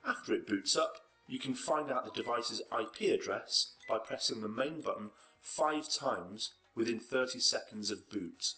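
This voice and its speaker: A man's voice: mid-range voice, lively intonation, normal speed, few pauses, sharp tone, faint music behind. A man reading scripted narration for an instructional video tutorial.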